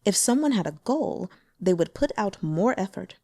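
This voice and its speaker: A woman reading a translated fantasy novel aloud as an audiobook narrator, in an expressive, measured storytelling manner. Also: mid-range voice, no echo, studio quality. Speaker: audiobook reader.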